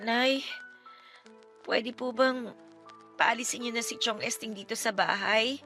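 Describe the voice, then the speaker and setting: A woman's voice: fairly high pitch, a little slow, sharp tone. A woman playing a wronged, anguished young daughter who pleads with her mother in an emotional radio drama. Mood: subdued, pleading. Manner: pleading, emotional, respectful turning defiant. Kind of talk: Tagalog radio drama acting. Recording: dramatic music under the dialogue.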